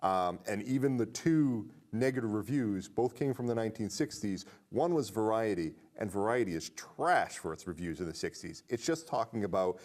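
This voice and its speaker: A man hosting a casual movie review podcast, talking conversationally and reading aloud with animated emphasis. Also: fairly low pitch, normal speed, few pauses. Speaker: podcast host.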